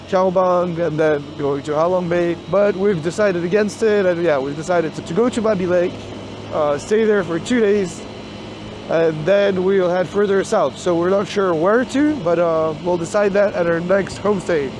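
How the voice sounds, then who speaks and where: A man's voice: high-pitched, noisy background. A man, a travel vlogger who is getting sick and losing his voice, talks casually with lots of fillers and restarts while on a road trip. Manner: rambling, filler-heavy, thinking aloud. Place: outdoors on a motorbike road trip.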